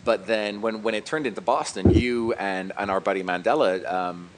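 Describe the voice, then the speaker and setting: Low-voiced man chatting informally. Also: moderate pace, a little hiss or hum, rough recording. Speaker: podcast co-host, gaming YouTuber. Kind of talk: casual chat.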